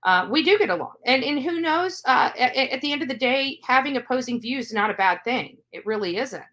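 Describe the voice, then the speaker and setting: A woman's voice: sits high, lively intonation, fairly quick, a bit bright. A woman giving unscripted online commentary in a rambling, repetitive way that leans on stock phrases.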